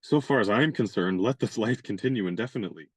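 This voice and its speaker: A chivalrous young gentleman adventurer in a radio play, acted by a man, genial and fond of his own turns of phrase. He talks in courtly, elaborate sentences with a touch of mock pomp. The voice is dry and close, with little room sound. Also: lowish voice, quick pace, no echo, lightly acted.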